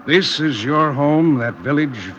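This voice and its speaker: A wry, poetic man of about forty, with a very expressive, swinging delivery. His phrasing is measured and literary, in vintage radio drama style, on a muffled recording with clear background noise. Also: on the high side. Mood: genial, wistful. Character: forty-year-old Parisian hiker, wry, poetic city man. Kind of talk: 1950s radio drama acting.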